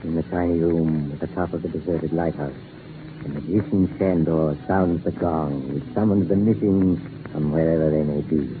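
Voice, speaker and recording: An actor playing a sinister, mockingly genial doctor who tells macabre tales in theatrical 1940s radio style. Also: male voice, extremely low pitch, fairly even intonation. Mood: ominous. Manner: theatrical, teasing, deliberate. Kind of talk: 1940s radio horror narration. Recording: scratchy, hissy, muffled 1940s radio recording.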